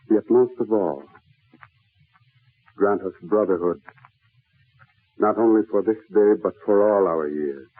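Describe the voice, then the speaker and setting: A man playing a solemn, visionary voice of mankind, delivering earnest, measured oratory in 1940s radio style. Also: sits low. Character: solemn, visionary everyman, timeless spirit of mankind. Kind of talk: 1940s radio dramatic recitation, prayer-like. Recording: tinny 1940s radio broadcast with music behind.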